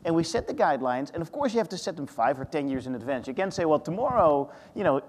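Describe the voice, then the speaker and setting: A man in his thirties with a Dutch accent, speaking as a panelist in an animated way, often restarting his sentences. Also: on the high side, quick pace. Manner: animated, thinking aloud with restarts. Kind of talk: panel discussion. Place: large conference hall. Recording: reverberant hall.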